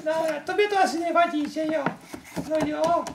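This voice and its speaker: Cheeky little boy marionette, played by a woman, squeaky-high and chattering, puppet show, noisy amateur recording. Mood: chatty, playful. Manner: playful, cheeky, chatty, interjecting. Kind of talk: improvised puppet show for a small child. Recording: echoey room, noisy amateur home recording.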